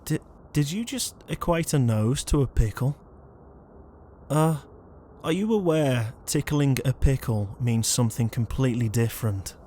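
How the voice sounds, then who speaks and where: Man playing a flustered, slow-talking ghost. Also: medium pitch, lively intonation, warm tone, slight background noise, lightly acted. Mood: exasperated. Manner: flustered, hesitant, pleading. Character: exasperated, conscientious male ghost, murdered shut-in. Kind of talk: amateur comedic audio roleplay.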